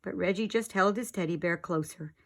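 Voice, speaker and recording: A middle-aged woman, an expressive storyteller reading aloud for young children. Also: fairly low pitch, fairly quick.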